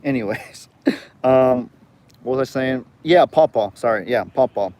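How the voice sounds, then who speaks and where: A man's voice: mid-range voice, unhurried, a little mellow. Young man with a light Southern drawl. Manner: rambling, chatty, reads viewer comments aloud. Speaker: livestream host. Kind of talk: casual live chat.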